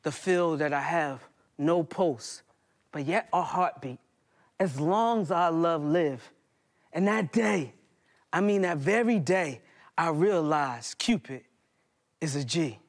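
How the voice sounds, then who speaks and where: High-pitched American man performing spoken word. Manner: dramatic, deliberate phrasing with pauses. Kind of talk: performed poetry monologue. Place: indoor hall stage before small audience.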